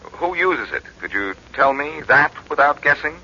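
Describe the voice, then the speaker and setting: An actor playing a brisk, wry amateur detective in a 1940s radio drama, speaking with an insistent manner. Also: male voice, a little high, very animated, sharp tone, exaggerated voice. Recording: muffled, noisy 1940s radio recording.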